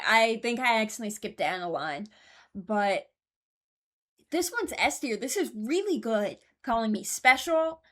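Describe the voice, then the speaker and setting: A woman's voice: medium pitch, fairly bright tone. A woman giving casual commentary, thinking aloud in a hesitant, deliberating way and often correcting herself. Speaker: host ranking game voice lines.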